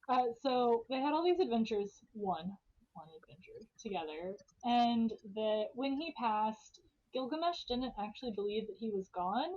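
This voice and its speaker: High-voiced woman casually retelling a story. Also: a little mellow, muffled recording. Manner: irreverent, slangy, frequent swearing. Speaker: podcast host telling a story.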